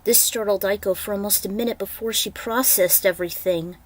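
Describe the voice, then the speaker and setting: A woman's voice: medium pitch, steady tone, a little fast. Woman narrating her own dramatic story. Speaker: fan fiction author and narrator. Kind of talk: dramatic story reading with casual author's notes.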